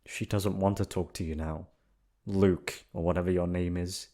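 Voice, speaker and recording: A man's voice: low-pitched, fairly even intonation, moderate pace, dark tone, natural voice. Protective young man in a storybook fantasy, done by a male narrator, firm and direct. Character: protective young male friend guarding a runaway princess.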